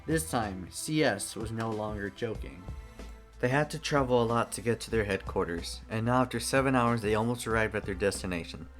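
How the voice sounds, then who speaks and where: Guy narrating a fan story, plain even reading, soft music behind. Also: fairly low pitch, brisk.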